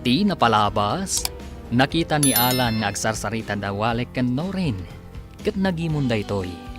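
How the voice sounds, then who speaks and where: A man's voice: mid-range voice, expressive, moderate pace. A man narrating an Ilocano radio drama as its announcer, reading from a script. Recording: music behind the narration.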